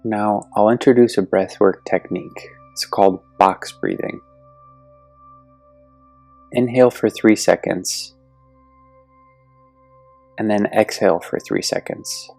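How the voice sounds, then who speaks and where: Male meditation guide: gentle, deliberate delivery with a creaky low edge, recorded dry. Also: expressive, no room sound. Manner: soothing, gentle, deliberate with long pauses. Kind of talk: guided yoga nidra meditation. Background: soft meditation music.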